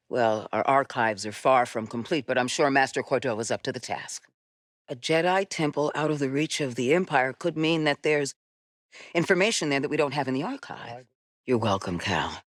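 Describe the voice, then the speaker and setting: Wise, resolute female Jedi mentor for a sci-fi game: low, measured, recorded very dry. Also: dry recording. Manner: measured, deliberate, earnest delivery. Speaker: video game voice actress. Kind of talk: cinematic game dialogue acting.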